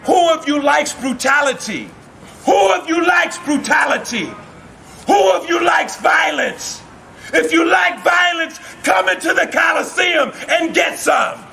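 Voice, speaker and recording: A man's voice: extremely high pitch, steady tone, slow, gravelly, hardly any pauses, bright, forceful tone, some chatter behind, slight background noise, pitched above the performer's own voice, heavily acted. A man voicing a fierce, vengeful warrior beast in a told story, bellowing taunts and repeated challenges. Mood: menacing, taunting. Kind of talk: oral storytelling.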